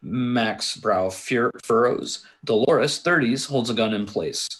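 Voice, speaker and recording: A man with a somewhat husky voice and a middle pitch reads screenplay narration aloud. He delivers it as stage directions at a table read, occasionally tripping on a word and going back over it. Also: slow pace, fairly bright tone. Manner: stumbles over and corrects words.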